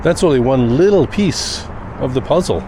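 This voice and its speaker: A man talking off the cuff in a personal vlog, reflective and rambling with thoughtful pauses. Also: medium pitch, animated. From Canada. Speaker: daily vlogger. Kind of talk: unscripted personal vlog monologue. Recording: noisy outdoor recording with vehicle sounds.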